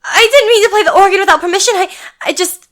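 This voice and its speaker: Woman playing a timid, high-voiced young singer. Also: fast talker, fairly bright tone. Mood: flustered, apologetic. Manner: hesitant, deferential. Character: timid, polite young female opera singer. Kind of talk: audio drama acting.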